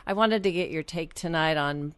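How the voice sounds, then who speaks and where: A woman's voice: sits low, moderate pace, clean tone, no echo. A woman hosting a music show, interviewing in a conversational, polite and appreciative manner. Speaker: radio show host.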